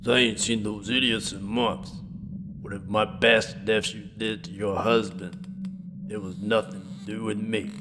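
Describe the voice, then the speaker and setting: A man's voice: on the low side, level tone, moderate pace, close to an everyday voice. A man playing an older, coarse and commanding outlaw gang boss in a western audio drama. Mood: irritated, defensive. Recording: clear background ambience noise.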